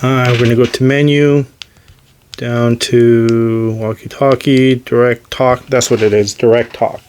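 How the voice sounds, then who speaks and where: A man's voice: medium pitch, flat delivery, unhurried, a little mellow, a little hiss or hum. A man giving a casual, hands-on gadget demonstration as host, talking in a hesitant, unscripted, self-deprecating way. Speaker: host demonstrating radio gear.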